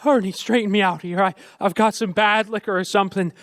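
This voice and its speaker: A man's voice: high voice, steady tone, fairly quick, fairly bright tone, no echo. A man acting in a radio play as a bewildered, frantic family man who stammers and pleads. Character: frantic, bewildered everyman husband and father.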